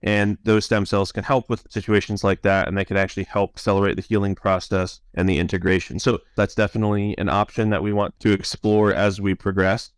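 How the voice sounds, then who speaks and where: Male science officer for a Q&A call: low, crisp voice, informal, measured explanations, close dry sound. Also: flat delivery, no echo. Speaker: chief science officer answering donor questions.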